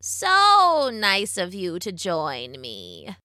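A woman voicing an ancient, menacing storybook witch who croaks and taunts in a slow, drawn-out way. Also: lowish voice, huge pitch swings, bright, forceful tone, no room sound, studio quality, full character voice. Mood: mock welcome. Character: ancient crone witch, menacing, gloating, cackling villain. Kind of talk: storybook villain voice.

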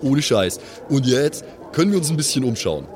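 A man's voice: medium pitch, sing-song, normal speed, darkish tone, lightly acted. A man playing a boastful circus performer who talks in brash bro slang in a tabletop game. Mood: chummy, persuasive.

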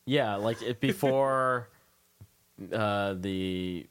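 Low-voiced, informal American male interviewer. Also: very slow, clear, resonant tone. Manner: informal, joking, loosely phrased questions. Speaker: radio show host, interviewer. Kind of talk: casual chatty interview.

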